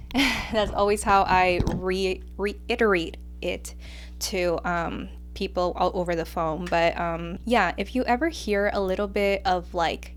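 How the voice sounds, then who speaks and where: A woman's voice: on the low side, steady tone, slow, slight background noise. Chatty Mexican-accented woman podcaster. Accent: Mexican Spanish. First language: Spanish. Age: 20s. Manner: chatty, lots of fillers like um and like. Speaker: podcast host. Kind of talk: casual solo podcast chat.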